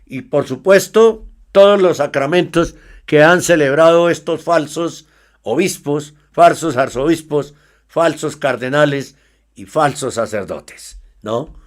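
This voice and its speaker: Male radio news host, measured and deliberate, slow pace, fairly high voice. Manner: measured, with tag questions. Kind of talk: news commentary.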